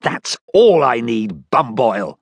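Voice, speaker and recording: A man's voice: medium pitch, very animated, takes it slowly, breathy edge, no echo, full character voice. A man voicing a pompous, conniving con man who talks like a plummy army officer. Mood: blustering.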